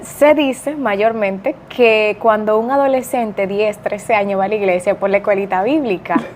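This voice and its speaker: A woman's voice: mid-range voice, fairly even intonation, moderate pace, a little mellow, a little hiss or hum. A woman hosting a church podcast, upbeat and affirming as she welcomes and questions her guest. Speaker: podcast host.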